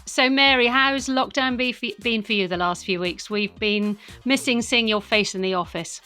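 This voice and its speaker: A woman's voice: a little high, moderate pace, pushed and bright, soft background music. A British woman co-hosting a podcast, moving between chatty presenting and scripted reading. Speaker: podcast co-host.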